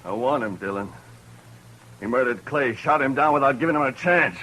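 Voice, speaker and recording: A man's voice: medium pitch, moderate pace, bright, forceful tone, slight background noise, natural voice, poor audio. A man playing a vengeful townsman who accuses and threatens a lawman in a 1950s radio western, his speech hostile and bitter.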